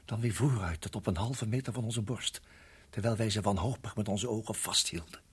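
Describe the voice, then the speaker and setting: A low, very husky husband in a radio play, caught in mortal danger. He speaks haltingly and urgently, often hushed, keeping himself tightly controlled. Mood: haunted, dramatic narration. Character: tense husband guiding wife through deadly snake danger. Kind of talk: radio drama acting and narration.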